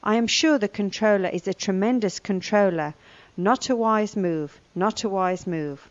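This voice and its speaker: A woman's voice: medium pitch, moderate pace, no echo, lo-fi sound. A woman giving scripted lesson narration, reading vocabulary and a news report. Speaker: language lesson presenter.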